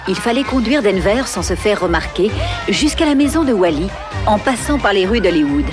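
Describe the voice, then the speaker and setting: A woman's voice: medium pitch, sing-song, a little fast. A woman giving scripted story narration for a French cartoon. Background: music.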